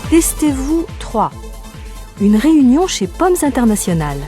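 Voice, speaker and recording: Woman, textbook audio announcer, higher-pitched, careful enunciation, music behind. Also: big pitch swings, a little slow, warm tone. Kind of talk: scripted announcing for a language course.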